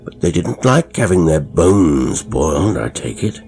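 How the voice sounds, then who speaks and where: A man voicing a gruff, impatient older country squire. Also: deep voice, big pitch swings, unhurried, a little mellow, faint music behind, full character voice.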